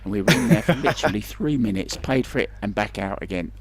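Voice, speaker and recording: A man's voice: on the low side, animated, quick pace, slight background noise. A man doing chatty, self-deprecating live pool commentary in a conversational way. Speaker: sports commentator. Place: remote commentary from his own location.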